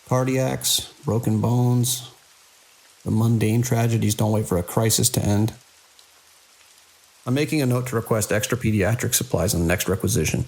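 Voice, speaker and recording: A man playing a weary, caring middle-aged hospital director in an audio drama, measured and dry with small hesitant pauses. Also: on the low side, vocal fry, a little mellow, poor audio. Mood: weary, reflective.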